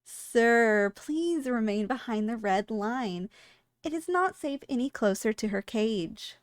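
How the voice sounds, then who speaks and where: A woman's voice: on the high side, animated, slow pace, clean tone, dry recording. A woman playing a polite, businesslike shop clerk in a scripted ASMR roleplay, with formal sales patter and dry understatement. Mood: firm, polite. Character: polite, businesslike female shop clerk selling dangerous yandere girls.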